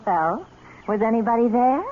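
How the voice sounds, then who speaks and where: A teasing young sweetheart, played by an actress, lilts insistently through an old radio play with lively pitch. The recording is tinny and clearly hissy. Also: female voice, moderate pace, natural voice. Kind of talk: vintage radio drama acting.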